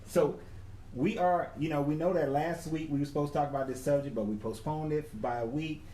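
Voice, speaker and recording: A man's voice: fairly high pitch, steady tone, fairly quick, dark tone. Laid-back man in his forties. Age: 40s. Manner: laid-back, slangy, talks to viewers by name. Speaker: co-host. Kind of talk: casual livestream talk. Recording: echoey room with faint background noise.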